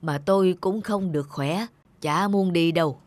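An actor playing a gentle, doting elderly grandfather in an animated film, affectionate and unhurried. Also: mid-range voice, dry recording, lightly acted. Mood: wistful, stubborn. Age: older. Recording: music under the dialogue.

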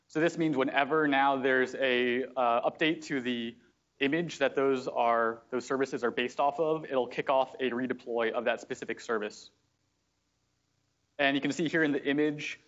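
A man explaining software step by step in a live technical demo at a meetup, with frequent fillers. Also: mid-range voice, lo-fi sound. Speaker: software engineer giving a product demo. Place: meetup room at a company office.

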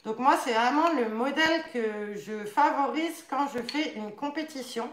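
A woman's voice: sits high, unhurried, fairly bright tone. A young French-speaking woman demonstrating nunchaku models in a casual live stream from her living room, chatty and full of fillers. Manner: chatty, many fillers, demonstrating while talking. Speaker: nunchaku instructor. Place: living room at home, indoors. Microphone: camera across a table. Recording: echoey room.

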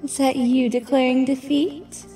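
A woman's voice: sits high, little pitch movement, unhurried, warm tone. A woman playing a sweet, teasing young mare in a fan audio drama, coy and affectionate with a sweetheart. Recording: distant, music underneath.

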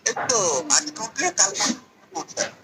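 A man's voice: brisk, loud music behind the voice. A man with a West Bengal accent chatting casually on the phone, questioning and insistent. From West Bengal, India. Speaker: prank call recipient.

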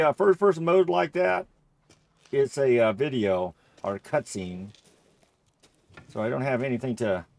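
A man giving casual, chatty gameplay commentary, thinking aloud and getting excitable. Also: a little high, no echo. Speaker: gamer recording a play-through.

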